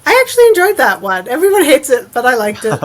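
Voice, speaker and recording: A woman's voice: high voice, very animated, fairly quick. Casual woman chatting on a podcast. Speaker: guest author of adventure fiction.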